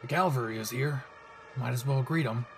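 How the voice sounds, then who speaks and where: A man's voice: mid-range voice, moderate pace, background noise, natural voice. A man playing a brash, possessive young hero, cocky, swearing and intense, in a dramatic audio roleplay spoken to the listener. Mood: dismissive, smug. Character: brash, possessive young male hero, gruff and foul-mouthed.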